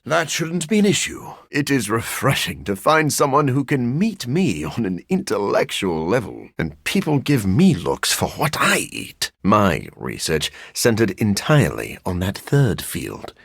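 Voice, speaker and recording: Brooding vampire scientist, male, husky, lively intonation, formal and dryly weary, video game antihero. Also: mid-range voice, no echo, full character voice. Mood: measured, scholarly. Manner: formal, measured, dry, articulate.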